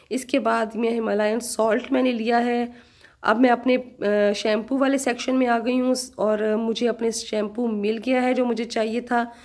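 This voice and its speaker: Middle-aged woman vlogging her groceries, chatty, kinda high voice, echoey room. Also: a little mellow. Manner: chatty, explaining prices step by step. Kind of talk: casual shopping vlog chat. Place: home room with shelves, indoors.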